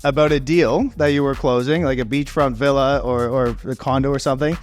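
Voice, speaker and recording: A man's voice: medium pitch, brisk, faint music behind. Rambling storyteller man from Ontario. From Ontario, Canada. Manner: rambling, animated storyteller with fillers and laughs. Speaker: podcast co-host, real estate agent. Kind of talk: casual podcast storytelling.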